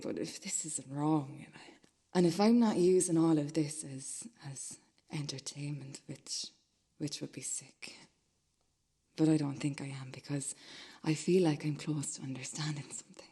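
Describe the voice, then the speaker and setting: A woman acting an uneasy, obsessive loner in a radio play monologue, hesitant and rambling, with a husky, breathy voice. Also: deep voice, mellow. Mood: self-doubting.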